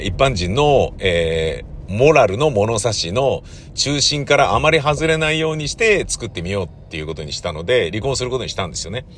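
A man's voice: lowish voice, a bit bright, slight background noise. A man talking casually and at length as a playwright recounting his work, in a discursive, emphatic, colloquial way. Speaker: playwright and troupe leader.

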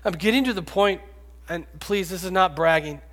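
A man in his fifties preaching a sermon, rising to loud pleading and dropping to hushed whispers. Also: high voice, normal speed. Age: 50s. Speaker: pastor. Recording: long reverb, echoey hall.

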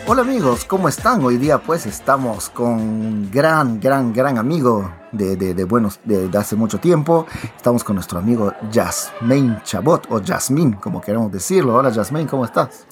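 A man's voice: mid-range voice, sing-song, normal speed, few pauses, faint music behind. A man hosting a casual interview for language learners, chatty and friendly, often repeating words as he goes. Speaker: podcast host.

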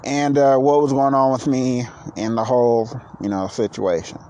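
A man in his late thirties hosting a casual solo podcast, who says he is low on energy and talks in a rambling, halting way with many restarts. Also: level tone, dark tone, slight background noise. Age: 30s. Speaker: podcast host.

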